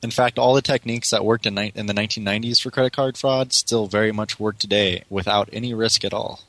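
A man with a low voice, recorded close and dry, co-hosts a casual show. His banter is sarcastic and mock-serious. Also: fairly even intonation, fast talker, a bit bright, dry recording. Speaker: co-host of a prank phone call show.

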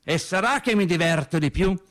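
An actor playing an unread, plainspoken factory husband, telling his story in an animated, colloquial radio-theatre monologue with hesitant repetitions. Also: high-pitched, moderate pace. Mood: defensive.

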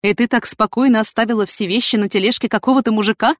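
A woman's voice: fairly high pitch, steady tone, fast talker, no room sound, studio quality, pitched above the performer's own voice, close to an everyday voice. A woman voicing a jealous, clumsy male police investigator in an audiobook, complaining and reproachful. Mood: exasperated. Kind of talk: audiobook character acting.

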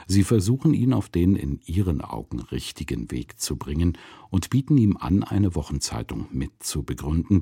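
A man narrating a radio drama in a measured, literary storytelling manner. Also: very deep voice, dark tone, husky, dry recording, studio quality.

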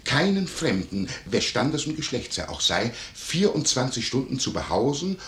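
An actor playing a fawning, nosy innkeeper in a classic comedy radio drama, with a hesitant, pedantic and obsequious manner. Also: male voice, medium pitch, big pitch swings, moderate pace, fairly bright tone, roomy sound. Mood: officious, flustered.

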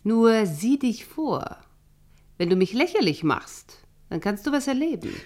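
A vain actress wife in a radio drama, haughty and sure of her charms. She is imperious and mocking, with a coquettish edge. Her lively intonation rises and falls sharply as she talks down to others. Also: a little high, unhurried. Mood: scornful, commanding.

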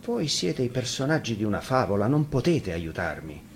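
A man's voice: mid-range voice, sing-song, normal speed, slight background noise. A man voicing a troubled, skeptical father in a fairy tale, full of questions. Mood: bewildered, doubtful.

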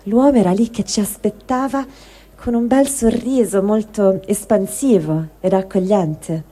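A woman's voice: medium pitch, warm tone. A woman giving a dramatic reading of a first-person written testimony. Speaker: reader of a translated testimony.

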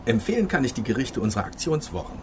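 An actor playing a formal dining-car waiter in a radio drama, polite and rehearsed. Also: male voice, mid-range voice, big pitch swings, quick pace, noisy background, close to an everyday voice. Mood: formal, promotional.

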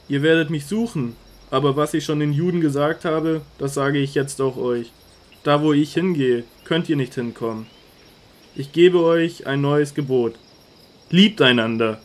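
An actor playing a calm, authoritative male teacher in a radio drama, speaking solemnly and earnestly. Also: fairly high pitch, close to an everyday voice.

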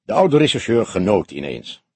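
Very husky male audiobook narrator. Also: on the low side, sing-song, moderate pace, warm tone, no echo. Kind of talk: scripted crime-novel narration.

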